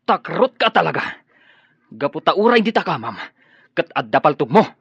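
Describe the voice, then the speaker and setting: A man's voice: a little high, very animated, normal speed, creaky, a bit bright, slightly rough, dry recording, highs cut off, heavily acted. A Filipino man acting in a radio drama as a vengeful, hot-headed armed son. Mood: taunting, furious.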